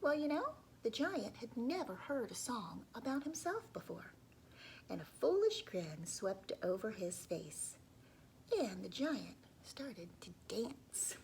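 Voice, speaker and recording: A woman telling a story to young children in an expressive read-aloud style, often dropping into hushed asides to her listeners. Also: a little high, slow, gravelly, a little hiss or hum, low-quality recording.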